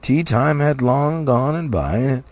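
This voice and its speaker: A man reading a storybook aloud in an expressive narrative style, as an amateur audiobook reader. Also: mid-range voice, measured pace, no echo.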